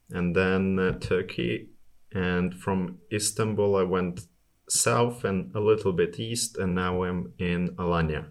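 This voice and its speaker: A man from Estonia answering questions casually as an interview guest about his own travels. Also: low voice.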